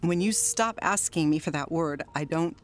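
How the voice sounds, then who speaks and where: An actress playing an emotionally volatile, lonely, newly sober wife in a theatrical audio drama monologue. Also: female voice, low-pitched, normal speed, slight background noise, natural voice. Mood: wistful, confiding. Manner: theatrical, swinging between outbursts and confiding murmurs.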